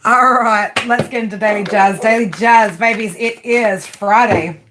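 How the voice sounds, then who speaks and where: A woman's voice: mid-range voice, measured pace, background noise. Chatty Australian woman reading tarot. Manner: chatty, playful, slangy, reads chat comments aloud. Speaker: tarot reader. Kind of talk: casual live-stream chat and reading.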